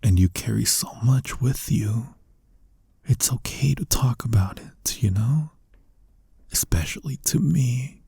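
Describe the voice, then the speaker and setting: A man playing a flirty, tender incubus in an intimate ASMR roleplay, speaking softly and teasingly with a breathy, husky voice. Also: mid-range voice, lively intonation, no echo, clean studio sound, exaggerated voice. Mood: tender, soothing. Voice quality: soft, breathy, husky, often near a whisper. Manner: teasing, coaxing, slow and intimate. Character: flirty, tender male incubus lust demon comforting a lover.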